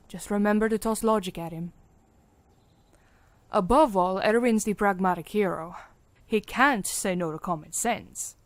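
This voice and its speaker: A woman's voice: mid-range voice, dry recording, natural voice. Firm, motherly medic played by a woman. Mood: earnest. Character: caring, firm female medic leader mixing in Spanish. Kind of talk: audio drama acting.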